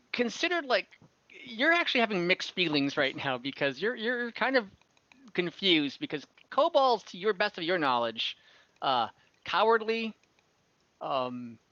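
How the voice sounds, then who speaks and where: Bright-voiced man as halting game master. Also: sits high, very animated, dry recording, muffled recording. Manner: halting, rambling, self-correcting. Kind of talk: improvised narration of a tabletop fantasy game. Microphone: online voice call, close microphone.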